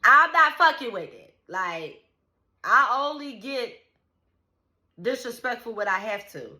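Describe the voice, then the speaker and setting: Blunt, profane woman in her thirties, bright-voiced. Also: on the high side, a little slow. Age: 30s. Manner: blunt, profane and animated, trailing "like" and "you know what I'm saying". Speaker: vlogger. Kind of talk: casual venting to the camera.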